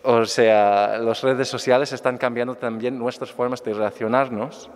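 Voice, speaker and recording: A man's voice: mid-range voice, fairly even intonation, normal speed. A man answering audience questions after his lecture, hesitant, with many fillers and restarts. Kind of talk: academic talk. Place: lecture hall with an altar. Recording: echoey hall.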